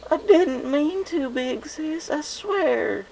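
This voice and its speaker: A man's voice: lively intonation, slow pace, vocal fry, a little mellow, exaggerated voice. A man voicing a small, earnest filly, a little sister pony, in a storybook reading. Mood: tearful pleading. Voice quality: twangy, high and thin. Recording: noisy home recording.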